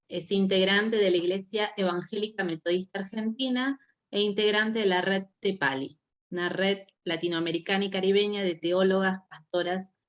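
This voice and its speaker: Slow-speaking Argentine woman introducing a guest. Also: mid-range voice. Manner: hesitant, with fillers. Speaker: course coordinator and host.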